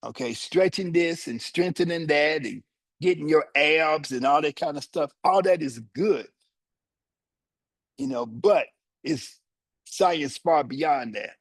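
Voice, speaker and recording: A man in his seventies teaching yoga, guiding students through their body and explaining the practice in a slow, deliberate, explanatory way with short pauses. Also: a little high, no room sound. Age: 70s. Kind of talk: guided yoga instruction with teaching asides.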